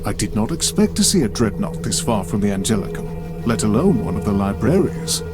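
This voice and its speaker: A doubting, blunt soldier of a far-future order in a video game. His low voice is terse and formal beneath very loud cinematic music. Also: big pitch swings, brisk. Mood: wry surprise. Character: blunt, sceptical male veteran warrior. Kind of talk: dramatic video game acting.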